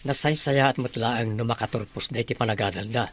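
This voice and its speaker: An earnest family father, acted by a man in an Ilocano radio drama. His voice is medium in pitch and sincere as he speaks with relatives. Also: a little fast, a bit bright, lightly acted. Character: earnest father and younger brother who values schooling.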